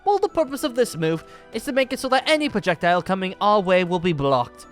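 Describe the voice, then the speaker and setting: A man's voice: sits high, big pitch swings, quick pace. A man playing a boastful, jovial ancient dragon slayer wizard in a theatrical, formal manner for an improvised Minecraft roleplay. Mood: boastful, playful. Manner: theatrical, grandly formal, chuckling. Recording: background music under the voice.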